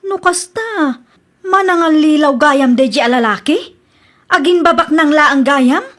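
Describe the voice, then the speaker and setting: A woman's voice: high voice, a little slow, a bit bright. An actress playing a hopeful, trusting young girlfriend, easily dismayed, in a comedy radio drama.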